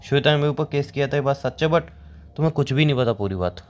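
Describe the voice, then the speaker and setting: A man's voice: medium pitch, quick pace, close to an everyday voice. A young man playing a wronged friend in a phone-call drama, defending himself in an earnest, insistent way. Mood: pleading, insistent.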